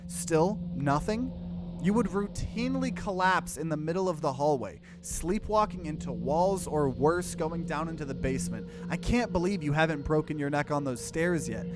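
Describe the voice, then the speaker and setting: Guy doing a sneaky little talking potato in a horror game, mocking, kinda high, noisy background. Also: expressive, moderate pace, close to an everyday voice. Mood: sly, probing. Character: small talking potato, sly, taunting schemer. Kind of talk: visual novel character voice read in a let's play.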